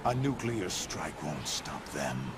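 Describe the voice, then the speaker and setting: An actor playing a commanding middle-aged villain in a video game cutscene, calculating and menacing, with grand, deliberate delivery. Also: male voice, a bass voice, sing-song, heavy background noise, heavily acted. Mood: dismissive. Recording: loud music behind the dialogue.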